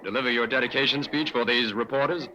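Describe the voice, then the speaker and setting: A man's voice: medium pitch, steady tone, fast, pushed and bright, a little hiss or hum, natural voice. An actor playing a wry male sidekick who teases the inspector, in an old radio drama. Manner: wry, teasing. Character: wry young male sidekick named Jim. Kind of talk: 1940s radio acting. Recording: dull lo-fi old radio recording.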